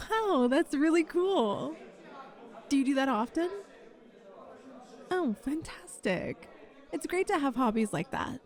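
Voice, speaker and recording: A woman playing a kind, flirty female bar manager in an ASMR roleplay, gently reassuring and encouraging a nervous job applicant. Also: high-pitched. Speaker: voice actor in an audio roleplay. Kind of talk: ASMR roleplay, one-sided conversation.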